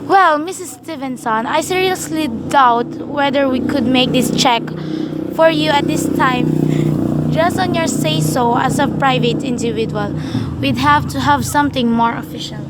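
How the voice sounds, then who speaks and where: A woman's voice: high voice, unhurried, very noisy. A woman playing an officious telephone chief operator in a radio play, formal, procedural and detached.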